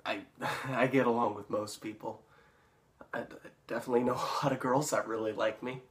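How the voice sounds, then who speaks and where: Casting: male actor as a bullied teen loner, nervous, halting, stammering, darkly unhinged, for a dramatic monologue. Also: medium pitch, steady tone, close to an everyday voice. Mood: nervous, self-deluding. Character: awkward, bullied teenage boy, nervous, resentful, darkly unhinged.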